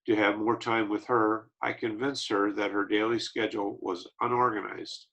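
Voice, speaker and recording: A man reading a memoir aloud, slow and measured with small slips. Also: lowish voice, little pitch movement, fairly bright tone, rough recording. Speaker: reader of an autobiography.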